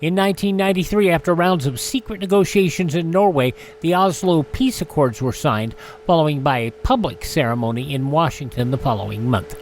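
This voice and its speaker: Male radio presenter, slightly high pitch, history rundown with wry asides, faint background noise. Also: a little fast. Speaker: radio host.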